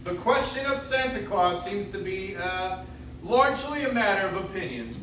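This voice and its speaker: A man's voice: high voice, sing-song, normal speed, fairly bright tone. A man playing a flustered, grandfatherly judge in a radio play, hesitant and blustering but formal. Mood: formal, evasive. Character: flustered grandfatherly judge, weary, evasive, dignified. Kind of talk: old-time radio play acting. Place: coffee shop stage, recorded from the audience. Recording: noisy, very echoey live room, distant mic.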